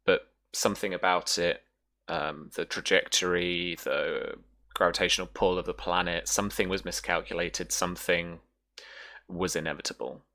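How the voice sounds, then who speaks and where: Game master, deep pitch, forceful edge, improvised descriptive narration with a halting flow. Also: steady tone.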